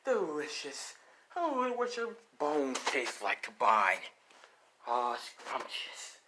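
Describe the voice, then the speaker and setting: A ravenous vulture villain voiced by a man gloats over his prey, drawing words out slowly. It is a homemade superhero tale with audible noise. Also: a little high, huge pitch swings, full character voice. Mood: gloating, hungry. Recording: clearly noisy home recording.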